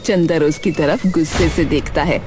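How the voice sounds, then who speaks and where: A woman's voice: deep voice, normal speed. A woman reading scripted story narration as the narrator of a tale. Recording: clear music bed behind narration. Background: music.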